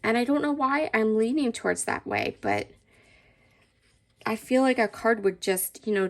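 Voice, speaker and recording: A woman's voice: mid-range voice, vocal fry. Stuffy-sounding woman gushing over craft papers. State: stuffy, allergy-worn voice. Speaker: scrapbooking channel host. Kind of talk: casual unboxing chat. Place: indoors at a craft table.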